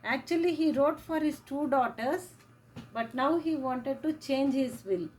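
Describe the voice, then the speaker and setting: A woman teacher giving a slow lecture, repeating key phrases as she explains. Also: high voice, darkish tone, faint music behind, low-quality recording. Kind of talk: classroom lecture.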